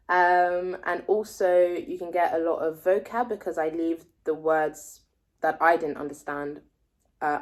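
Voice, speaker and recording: A woman in her twenties, a native French speaker, vlogging as a language learner. She reads a text aloud slowly, thinks aloud and guesses at words she doesn't know. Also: lowish voice, a little mellow. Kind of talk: reading aloud and explaining a text.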